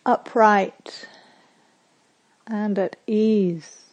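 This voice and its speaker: A woman meditation teacher leading a guided meditation in a soothing, measured way, with pauses and phrases that end softly. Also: medium pitch, fairly even intonation, lo-fi sound.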